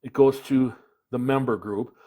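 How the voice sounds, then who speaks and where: A man presents a hands-on product demonstration in a casual way. His voice sits at an average pitch, and he explains each step in plain, practical words. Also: steady tone, unhurried, mellow. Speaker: product reviewer, video presenter.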